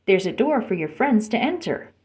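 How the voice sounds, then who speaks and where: A woman's voice: medium pitch, big pitch swings, normal speed, a little mellow, lightly acted. A kind young prince from a storybook, read by a woman in a voice close to her own. He is considerate and speaks with care for others. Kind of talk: storybook read-aloud character voice.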